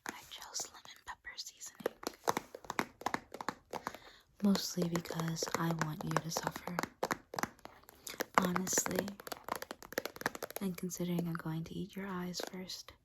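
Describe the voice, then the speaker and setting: A woman playing a menacing spider demon in an ASMR roleplay, whispering breathily in a rambling, deadpan, casually cruel way close to the mic. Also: lowish voice, close to an everyday voice. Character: menacing female spider demon toying with her human prey. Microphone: close ASMR microphone. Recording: hissy close recording with clicks.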